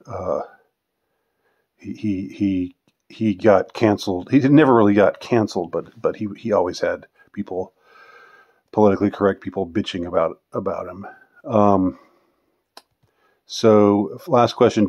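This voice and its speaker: A man in his fifties answering viewer questions in a casual, unscripted monologue, rambling and halting as he lets thoughts trail off. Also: fairly low pitch, a little mellow, dull audio. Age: 50s.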